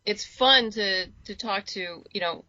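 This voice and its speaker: A woman's voice: mid-range voice, measured pace, bright, forceful tone, dull audio. A woman chatting casually as a guest in an interview. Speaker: interview guest, comics creator. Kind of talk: casual chat.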